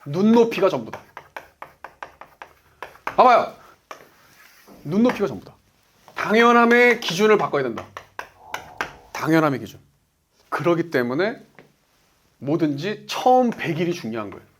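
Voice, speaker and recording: A man's voice: on the high side, sing-song, normal speed, pauses often, a bit bright, slight background noise. A man in his forties giving a motivational business talk as a coach, emphatic, asking rhetorical questions and answering them himself. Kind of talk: motivational lecture.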